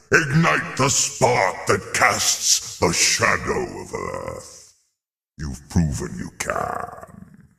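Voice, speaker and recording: A man playing a grandiose, indulgent, scheming alien emperor in a video game, with a deep, raspy voice and pompous, theatrical delivery that savors each word. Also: bright, forceful tone, full character voice. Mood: commanding, grandiose. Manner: pompous, theatrical, savoring words with big swells. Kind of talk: scripted video game dialogue.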